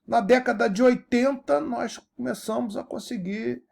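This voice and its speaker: A man, giving a lecture as an animated teacher who keeps checking that his audience follows. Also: very high voice, moderate pace, gravelly. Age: 70s. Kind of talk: recorded university-style lecture.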